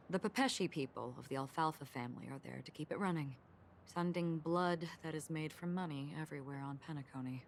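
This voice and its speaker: A woman voicing a composed, melancholy traveler who recounts tales in a measured, poetic way in a video game. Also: sits low, a little hiss or hum. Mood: solemn, reflective. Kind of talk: scripted video game dialogue, storytelling. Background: loud music.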